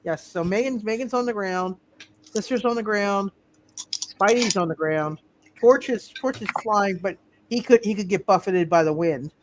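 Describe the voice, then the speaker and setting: A game master refereeing a live tabletop roleplaying game in a halting way, often repeating phrases. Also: mid-range voice, noisy background.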